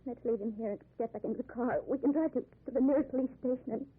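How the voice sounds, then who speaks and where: A woman's voice: a little high, fast, husky, phone-quality sound. An actress playing a frightened, pleading young woman in an old-time radio drama. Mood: shaken, pleading.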